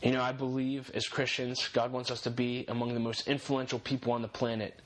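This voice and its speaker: A man's voice: mid-range voice, fairly bright tone, rough recording. Earnest young man giving faith-based encouragement. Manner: earnest, encouraging, repeats key phrases for emphasis. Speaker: podcast host.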